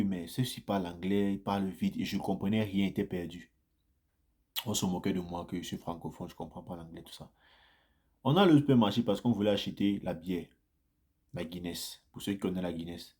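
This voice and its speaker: A man with a fairly low voice talks to his viewers in a loose, chatty way. He repeats phrases for emphasis and livens up as his stories build. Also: a little fast, husky. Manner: chatty, repeats phrases, grows animated in anecdotes. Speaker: vlogger sharing immigrant experience. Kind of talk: casual first-person storytelling to viewers.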